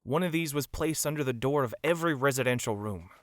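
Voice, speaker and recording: A man's voice: medium pitch, fast talker, lightly acted. A man acting in an audio drama as a reassuring middle-aged pony father. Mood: calm, reassuring.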